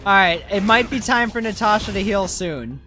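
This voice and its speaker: A game streamer doing casual, excitable live commentary in slangy talk peppered with bro and dude. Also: medium pitch, normal speed, soft background music.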